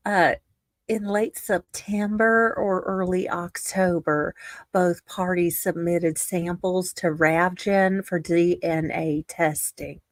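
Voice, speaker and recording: A woman co-host reads aloud at an unhurried, halting pace. Her voice is slightly low and closely recorded with no room sound, and she adds casual, sarcastic remarks. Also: steady tone, dry recording. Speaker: livestream co-host. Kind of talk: halting reading aloud with casual joking asides.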